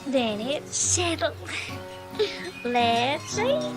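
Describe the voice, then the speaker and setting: A homespun old Southern granny, high in pitch and slow to get her words out. She is bossy and hospitable in a comic radio-play way, with music behind her. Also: wildly swooping pitch, full character voice. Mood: folksy welcome. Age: older. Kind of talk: comedic audio drama acting.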